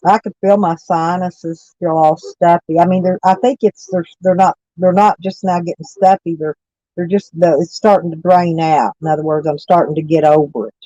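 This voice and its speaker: Hoarse, stuffy-nosed woman chatting casually. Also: on the low side, fairly even intonation, normal speed, dark tone, no room sound, lo-fi sound. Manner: rambling, folksy, self-correcting. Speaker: vlogger chatting with live viewers. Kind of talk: casual morning chat. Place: inside a camper van.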